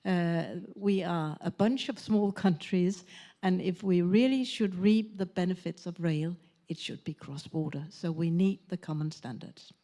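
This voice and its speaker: A woman from Denmark, speaking as a panelist at a conference in a measured, thoughtful way with pauses and fillers. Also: on the low side, warm tone. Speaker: conference panelist, former parliament member.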